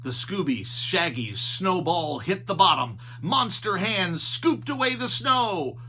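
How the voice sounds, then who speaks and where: Animated, slow-paced man reading a children's story. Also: sits high, husky.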